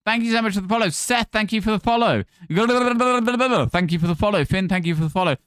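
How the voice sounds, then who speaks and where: A Scottish man hosting a live stream, reeling off thanks to followers between jokes. His voice sits a touch high and comes across smooth and clear. The sound is close and dry, with no room echo. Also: a bit bright. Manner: chatty, thanks viewers rapid-fire, jokey. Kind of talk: casual live-stream chat.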